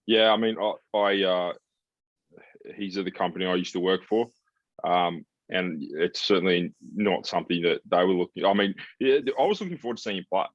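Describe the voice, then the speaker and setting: A man's voice: low-pitched, normal speed, no room sound. A man hosting a sports podcast in casual conversation, talking in a blunt, laid-back, matey way. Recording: slightly dull, lo-fi sound.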